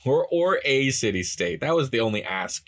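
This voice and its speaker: A man's voice: mid-range voice, very animated, moderate pace, fairly bright tone. A man co-hosting a casual gaming podcast, easygoing and teasing, chuckling now and then. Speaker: podcast co-host. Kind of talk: casual chat about game patch notes.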